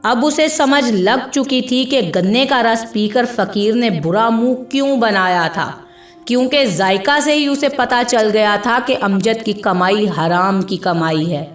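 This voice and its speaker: Woman storyteller, higher voice, unhurried measured narration, dramatic delivery, reverberant sound, music underneath. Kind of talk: scripted narration of an Urdu moral story. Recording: echoey voice with music behind.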